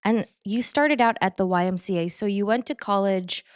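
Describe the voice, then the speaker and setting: A middle-aged woman hosting a fitness podcast, moving from a scripted intro into relaxed, upbeat interview questions. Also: mid-range voice, normal speed, clear, resonant tone, no echo, clean studio sound. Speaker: podcast host. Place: New York City.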